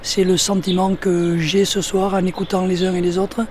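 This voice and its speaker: A man giving formal, measured interview remarks as a local elected official. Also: sits high, flat delivery, slight background noise.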